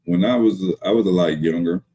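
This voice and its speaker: A man's voice: deep voice, brisk, warm tone, breathy edge, rough recording. A man in his thirties co-hosting a podcast, talking casually and reflectively in a hesitant way. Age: 30s. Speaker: podcast co-host.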